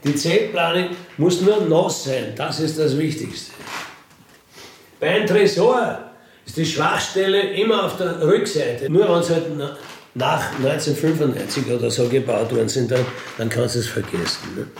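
A middle-aged man with a Bavarian accent tells first-person anecdotes casually, rambling along with colloquial tag questions and throat clearing. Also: a little high, moderate pace, a little hiss or hum. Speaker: storyteller, self-described burglar.

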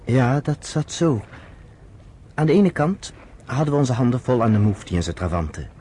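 An actor playing a man who recounts history to a woman friend, in measured, explanatory radio-play narration, on a noisy old recording. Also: mid-range voice, sing-song, warm tone, lightly acted. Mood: measured, serious.

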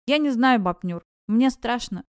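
A vulnerable young woman acted by a female narrator in audiobook dialogue. Her fairly high voice is earnest and worried, as if confiding in someone. It is recorded very dry. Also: expressive, moderate pace, dry recording, lightly acted. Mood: distraught. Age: young adult. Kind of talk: audiobook character voice.

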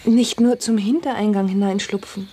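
Woman playing a mellow, meek young country girl. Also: mid-range voice, fairly even intonation, normal speed, slight background noise, close to an everyday voice. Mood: hushed. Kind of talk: German radio drama acting.

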